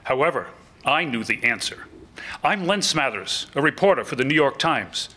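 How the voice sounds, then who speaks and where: An older man plays a knowing newspaper reporter of the 1800s in a staged reading. His voice is bright and forward as he narrates his own account into a stage microphone. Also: mid-range voice, very animated, normal speed, breathy edge, full character voice. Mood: knowing, assured. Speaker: amateur actor reading a historical part. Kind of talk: scripted first-person narration at a staged reading. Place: auditorium stage before an audience. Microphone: microphone on a stand.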